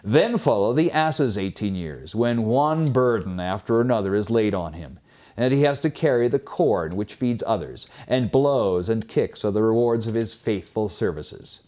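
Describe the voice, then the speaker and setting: A man hosting and narrating folk tales from a script in an expressive, formal manner. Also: on the low side, normal speed. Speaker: storyteller, host.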